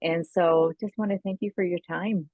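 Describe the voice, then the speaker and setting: A woman's voice: lowish voice, fairly even intonation, moderate pace, no room sound. Woman hosting, slightly tinny line. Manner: affirming, effusive, gropes for words in lists. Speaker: podcast host. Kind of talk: conversational interview.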